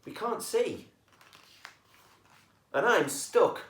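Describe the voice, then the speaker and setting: A storybook reader voicing a trio of noisy, eager foxes in a high voice that keeps dropping to a whisper. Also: big pitch swings, drawn-out words. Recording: roomy echo, voice far from mic.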